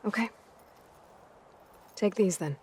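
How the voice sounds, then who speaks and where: A woman's voice: medium pitch, takes it slowly, a little mellow, big-room sound, a little hiss or hum, natural voice. A young woman acting an earnest, burdened but determined hero in a video game, in an understated and hesitant manner. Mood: guarded. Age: young adult.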